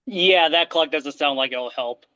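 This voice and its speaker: A man co-hosting a podcast in a rambling, hesitant way with a dry humor. His voice sits a bit high and smooth, but his mic sounds slightly dull and lo-fi, close and dry. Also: a little slow, bright, forceful tone, no echo. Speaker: podcast co-host. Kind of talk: casual chat.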